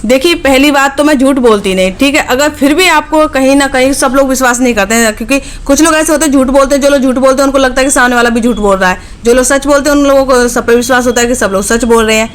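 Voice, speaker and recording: A woman's voice: high voice, fast, few pauses. Chatty woman vlogger, late twenties. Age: late 20s. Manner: chatty, direct, frequent "okay" check-ins. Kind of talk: casual chat to viewers.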